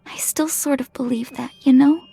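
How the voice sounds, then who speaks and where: A timid, earnest young woman in a horror visual novel game. Her voice is high and soft, hesitant and a little breathy, with music playing underneath. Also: fairly even intonation, moderate pace, full character voice. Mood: frightened, wistful.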